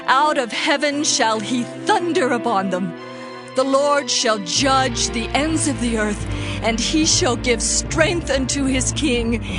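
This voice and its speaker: An actress playing a devout woman praying in measured, solemn exultation in a dramatized Bible reading with music behind her. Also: high voice. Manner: measured, declamatory, reverent. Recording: clear music under the voice.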